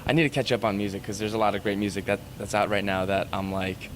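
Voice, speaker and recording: Hesitant low-voiced man in his thirties. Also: steady tone, quick pace, a little hiss or hum. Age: 30s. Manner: hesitant, rambling with many fillers. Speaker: band musician. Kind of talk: casual interview.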